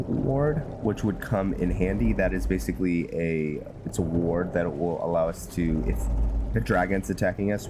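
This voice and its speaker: A man giving casual gameplay commentary, musing aloud in a halting, hesitant way, with music behind him. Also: low-pitched, noisy background. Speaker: gamer playing and narrating a fantasy roleplay series. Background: loud game music under the voice.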